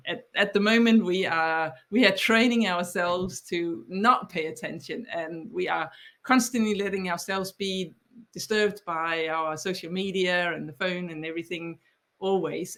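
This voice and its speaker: A woman's voice: on the low side, a bit bright. A woman in her forties from Denmark with a Danish accent, explaining her ideas as a podcast guest, thoughtful, often restarting and repeating words. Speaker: guest author. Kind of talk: podcast interview.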